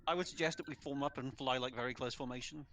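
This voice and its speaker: A man's voice: medium pitch, fairly even intonation, fast, fairly bright tone, slight background noise. A man in casual voice chat with a friend, easygoing and full of tactical suggestions. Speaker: co-op teammate.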